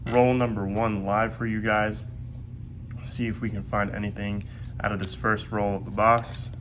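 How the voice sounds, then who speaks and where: A stuffed-up, congested man talks viewers through his task at a home desk in a steady, methodical way. His voice sits low and barely rises or falls. A clear layer of noise runs under the recording. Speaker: coin roll hunting video host. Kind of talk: casual hands-on commentary. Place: at a desk indoors.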